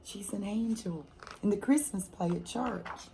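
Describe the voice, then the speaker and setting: Slow-paced woman reading to children. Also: medium pitch, vocal fry, dark tone, slight background noise, low-quality recording. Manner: slow, deliberate, questioning young listeners. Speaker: fourth grade teacher reading aloud to children. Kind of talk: expressive children's picture-book read-aloud with asides to listeners.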